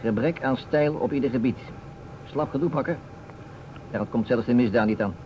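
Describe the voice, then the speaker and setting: An actor playing a pessimistic police inspector in a radio drama, grumbling in clipped phrases. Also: male voice, mid-range voice, moderate pace, lightly acted. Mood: gloomy grumbling. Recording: muffled, noisy old radio recording.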